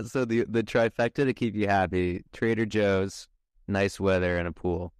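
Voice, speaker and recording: A woman's voice: extremely low pitch, steady tone, normal speed, darkish tone, clear, resonant tone, no echo, clean studio sound. A woman hosting a podcast, chatting casually as an agreeable, encouraging listener. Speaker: podcast host, interviewer. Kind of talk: casual chat.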